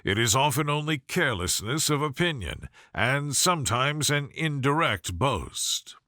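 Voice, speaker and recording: Man playing a reserved, formal proud gentleman. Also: mid-range voice, animated, no echo, studio quality. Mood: critical. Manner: measured, formal, cool. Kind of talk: audiobook character voice.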